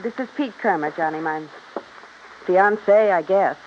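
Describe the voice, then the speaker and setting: A woman's voice: lowish voice, moderate pace, natural voice. A woman playing a grieving, stubborn young ranch heiress in a 1950s radio drama, pointed and resigned in manner. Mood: strained, stubborn. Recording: muffled, hissy old radio recording.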